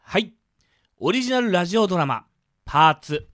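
A man's voice: high-pitched, lively intonation, fairly quick, a bit bright, no room sound, studio quality. A man hosting a casual radio chat show.

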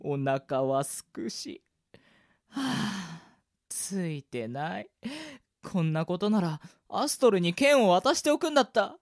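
Woman playing an animated, naive young boy hero. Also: on the low side, slow, no echo, studio quality. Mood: dejected. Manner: dramatic, plaintive, childlike. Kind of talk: anime-style amateur radio drama acting.

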